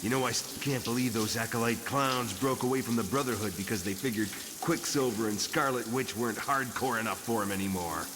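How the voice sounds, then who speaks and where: An actor playing a gruff, blunt, wisecracking tough mutant brawler in a motion comic. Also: male voice, medium pitch, fairly quick, few pauses, noisy background, natural voice. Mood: sardonic. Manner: blunt, crude, sardonic.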